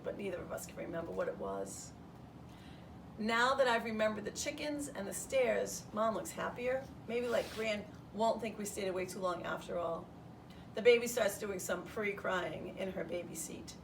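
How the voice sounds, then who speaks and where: A woman reading a children's storybook aloud in the first person as a schoolteacher would, with an expressive delivery. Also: mid-range voice, normal speed, vocal fry. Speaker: third grade teacher reading aloud. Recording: distant mic, roomy background noise.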